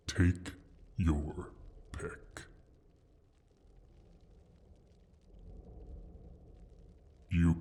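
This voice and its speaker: Guy doing a big menacing hurt dragon, super husky n breathy, talks real slow, asmr fantasy roleplay. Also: sits low, mellow, heavily acted. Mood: taunting. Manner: slow, deliberate, menacing, drawn-out phrasing. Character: injured, menacing male dragon guarding his gold hoard.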